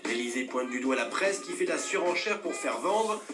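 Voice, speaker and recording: A man reads a news voice-over in a measured, clipped manner. His voice sits a little high and sounds roomy, picked up from a distance. A faint guitar plays behind him. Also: expressive. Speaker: news reporter.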